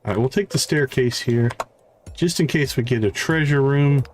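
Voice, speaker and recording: A man hosting a game livestream with casual, unhurried commentary, thinking aloud as he plays. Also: mid-range voice, darkish tone. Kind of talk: casual live gameplay commentary. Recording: faint background noise with clicks.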